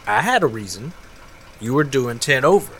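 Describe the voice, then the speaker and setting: An actor playing a nosy, pushy small-town conspiracy nut in an audio drama, with a folksy, insistent Mississippi Southern accent. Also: male voice, mid-range voice, very animated, moderate pace, fairly bright tone, breathy edge. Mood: smug. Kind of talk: audio drama dialogue acting.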